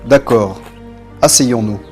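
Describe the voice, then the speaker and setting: A man voicing a calm, pious and patient prophet in a religious cartoon, speaking in a measured, solemn, instructive way. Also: medium pitch, close to an everyday voice. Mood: serene, firm. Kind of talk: dubbed religious cartoon acting. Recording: music under the voice.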